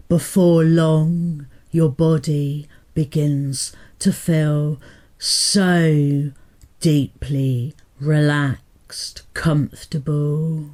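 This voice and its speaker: A woman hypnotherapist guiding a meditation in a soothing, deliberate manner, pausing between short phrases. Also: sits low, dark tone. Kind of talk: guided meditation hypnotherapy narration.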